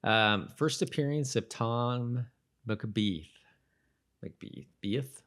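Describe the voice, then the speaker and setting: A man's voice: medium pitch, very slow, fairly bright tone. A man co-hosting a podcast in casual, agreeable conversation, sometimes reading trivia aloud. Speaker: podcast co-host.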